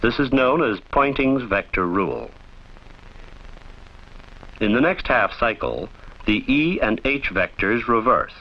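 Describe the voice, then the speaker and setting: A man reading scripted instructional narration for a training film in a formal, measured, didactic way, on an old film soundtrack. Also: medium pitch, lively intonation, lo-fi sound.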